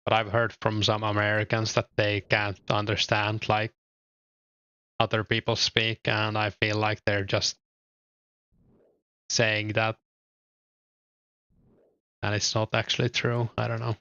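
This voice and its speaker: A man's voice: lowish voice, measured pace, long pauses, clean tone, no echo, dull audio. A young man with a Finnish accent, chatting casually and a bit hesitantly while gaming and reading his chat aloud. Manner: relaxed, hesitant, lots of fillers. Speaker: streamer.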